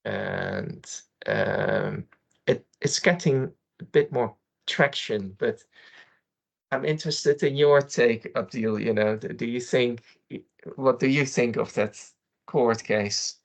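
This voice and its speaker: A man's voice: medium pitch, takes it slowly, creaky. A man who grew up in the Netherlands, an interview guest speaking hesitantly with many restarts and fillers. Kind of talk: conversational interview.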